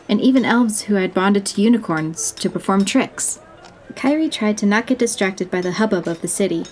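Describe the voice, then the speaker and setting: A woman's voice: mid-range voice, darkish tone, poor audio. A woman reading scripted narration for a fantasy audio story.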